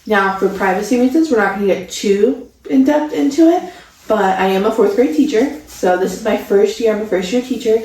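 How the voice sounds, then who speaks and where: A woman's voice: mid-range voice, brisk, darkish tone. Echoey, enthusiastic young woman vlogger. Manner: chatty, enthusiastic, gushing. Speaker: vlogger co-host. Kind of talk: casual vlog chat, Q&A. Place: home studio room, indoors. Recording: echoey room, distant mic.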